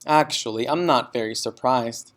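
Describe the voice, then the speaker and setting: A kindly woman teacher from a storybook voiced by a man. She talks in a calm, measured way, gentle yet matter-of-fact. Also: medium pitch, natural voice.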